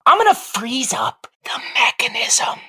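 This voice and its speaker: A man playing a philosophical wooden ventriloquist dummy in a comic audio play, an insistent, questioning underdog with a husky voice. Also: very high-pitched, very animated, unhurried, bright, forceful tone, full character voice. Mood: aggrieved, defiant.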